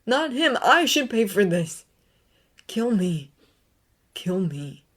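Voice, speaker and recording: A woman's voice: fairly low pitch, animated, slow pace. A woman voicing an anguished, bitter grown man, a brooding teacher, with intense pleading outbursts. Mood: desperate.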